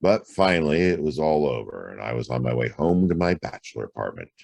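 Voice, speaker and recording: A hard-boiled detective played by a male actor from a noir audio drama. The voice sits extremely low and crackles with gravel, close and dry. He is laconic and wry, and his delivery moves widely in pitch. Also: very animated, a little fast, a little mellow, no room sound, heavily acted. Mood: wry narration. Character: world-weary hard-boiled private detective, adult man. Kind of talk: noir radio drama acting and first-person narration.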